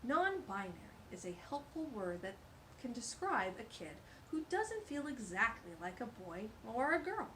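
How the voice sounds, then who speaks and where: A woman's voice: fairly high pitch, big pitch swings, moderate pace, a bit bright, slight background noise, low-quality recording. A woman hosting a children's storytime, reading a picture book aloud with gushing, exclamatory asides.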